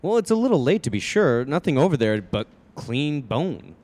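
A man's voice: on the high side, big pitch swings, moderate pace, darkish tone. A man playing a practical, dismissive husband and father in a radio play, brusque and placating. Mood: skeptical.

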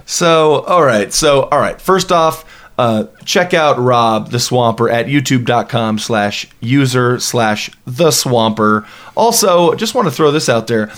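Laughing animated podcast host, late twenties. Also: male voice, mid-range voice, slow pace. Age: 20s. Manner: animated, laughs often, many fillers. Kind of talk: casual chat.

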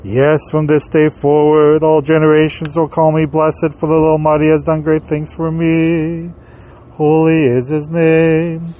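Man slowly chanting a church liturgy. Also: a little high, monotone, dark tone. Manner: slow, drawn-out chanting alternating with brisk recitation. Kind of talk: chanted liturgy and gospel reading.

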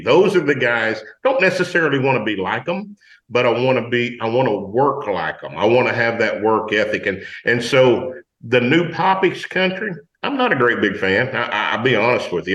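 A man with a low voice, a country singer and guest on a talk radio show, speaking bluntly in folksy words. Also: a bit bright, reverberant, highs cut off.

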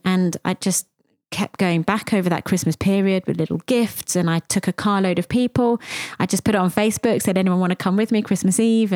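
A woman's voice: medium pitch, a little mellow, dry recording. Reflective woman. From England. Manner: reflective, with frequent ums and pauses. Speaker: podcast host and cafe founder. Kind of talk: conversational podcast storytelling.

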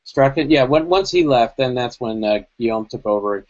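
A man's voice: mid-range voice, lively intonation, normal speed, a little mellow. Man, podcast co-host, casual rambling chat, asides, video call, lo-fi sound. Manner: rambling, thoughtful, many asides. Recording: dull lo-fi video call audio.

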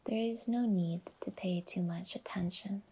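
A woman guiding a relaxation meditation in a soft, whispery, soothing voice, unhurried and leaving long pauses between phrases. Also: medium pitch, breathy edge. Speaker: yoga nidra guide and podcast host.

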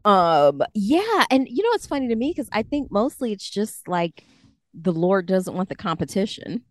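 Expressive American woman with a lively delivery. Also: mid-range voice, moderate pace, no room sound. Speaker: podcast co-host. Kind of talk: casual conversation and scripture reading.

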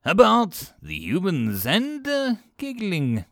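A man voicing a small, excitable flying alien commander who talks in a snappy, fretful, exasperated way in a story. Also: high-pitched, slow, no room sound, studio quality, pitched above the performer's own voice, full character voice.

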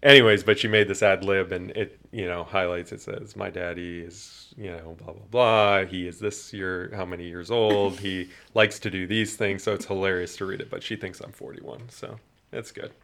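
A man in his thirties chatting on a podcast in a lowish, gravelly voice. He rambles in an easygoing way and chuckles often. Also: steady tone, normal speed, fairly bright tone. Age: 30s. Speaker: podcast co-host. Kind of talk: casual chat.

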